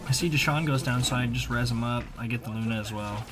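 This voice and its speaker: A man's voice: mid-range voice, fast talker, over music. A man giving casual, slangy, conversational voice-over commentary on video gameplay, as a gaming video creator.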